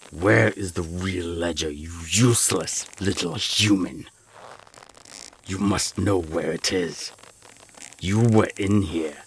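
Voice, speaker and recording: A man playing a menacing naga gang boss in an audio roleplay, gravelly and deliberate, with cold, taunting threats. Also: fairly bright tone, slight background noise, full character voice. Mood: angry, commanding. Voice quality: very deep, gravelly. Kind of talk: audio roleplay drama acting.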